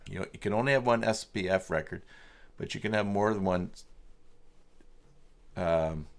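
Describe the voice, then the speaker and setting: Male tutorial streamer, deep register, informal and halting, talks to himself and reads off the screen, often trailing off. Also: vocal fry. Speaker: livestream host working through website email setup. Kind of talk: casual livestream tutorial, thinking aloud and reading from screen.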